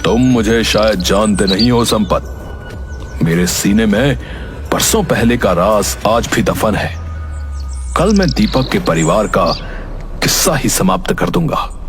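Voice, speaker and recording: A man's voice: on the low side, animated, faint music behind. Stern, menacing village villain played by a man. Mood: scheming. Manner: stern, deliberate, threatening. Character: menacing village chieftain, boastful murderous villain. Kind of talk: dramatic Hindi cartoon dialogue.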